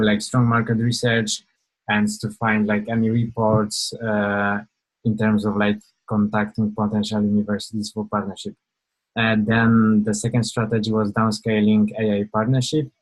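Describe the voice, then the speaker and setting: A young man leads an online webinar in a low, flat voice. He talks in an informal, halting way, filling pauses with "uh" and "like" and often restarting a sentence. It sounds like a video call. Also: poor audio. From Poland. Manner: hesitant, many fillers and restarts. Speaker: webinar presenter.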